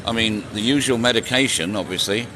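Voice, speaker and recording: A man's voice: fairly low pitch, fairly quick. A man in his sixties chatting casually on a live stream as he rides through city streets, joking and answering viewer questions. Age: 60s. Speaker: vlogger. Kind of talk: casual live-stream chat while riding. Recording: noisy outdoor street recording. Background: traffic and street noise.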